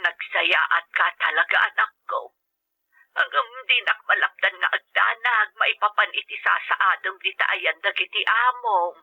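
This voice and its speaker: Through a dull, band-limited phone call comes a tender, worried older mother, played by a woman in an audio drama. She has a mid-pitched voice with a plaintive, fretful way of talking. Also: measured pace, vocal fry, breathy edge. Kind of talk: scripted drama acting.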